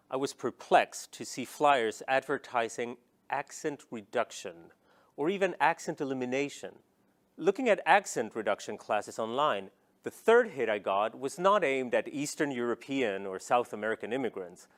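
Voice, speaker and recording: A man giving reflective, scripted documentary narration in an even-paced storytelling manner. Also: medium pitch.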